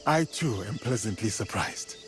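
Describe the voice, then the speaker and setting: An actor playing a solemn, loyal warrior with a measured, reassuring manner in a video game drama. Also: male voice, lowish voice, animated, music in the background, lightly acted. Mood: solemn, grateful.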